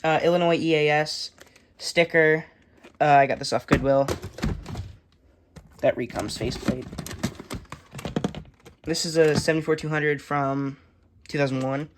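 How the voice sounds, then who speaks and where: Halting young man over clattering noise. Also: on the high side, fairly even intonation. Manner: halting, matter-of-fact, listing items. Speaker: hobbyist collector giving a tour. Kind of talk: casual unscripted show-and-tell narration. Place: indoors in a room, handling plastic bins. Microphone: handheld phone camera. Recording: noisy handheld recording with clattering.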